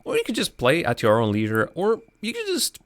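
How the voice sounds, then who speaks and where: A man's voice: on the high side, wildly swooping pitch, a little fast, no echo. A young man from Latvia, not a native English speaker, thinking aloud as he plays a game on a livestream and answers chat. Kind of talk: casual live gameplay commentary.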